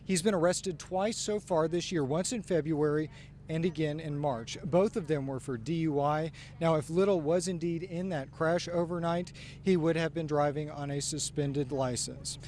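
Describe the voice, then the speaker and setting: A man's voice: a little high, moderate pace, a little mellow. A man reporting live for TV news from outdoors on a street. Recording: faint outdoor street and vehicle noise.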